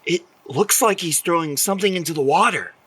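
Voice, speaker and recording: A performer playing an excitable, alarmed teenager with a husky voice in a horror audio drama. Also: medium pitch, a little fast. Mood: curious. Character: excitable, alarmed youngster named Tom.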